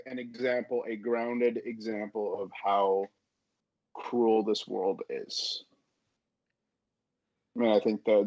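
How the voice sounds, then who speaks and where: Male author guest, lower register, thoughtful casual talk, slightly dull recording. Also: darkish tone, no room sound. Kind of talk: casual reflective discussion. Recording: dull, close dry audio.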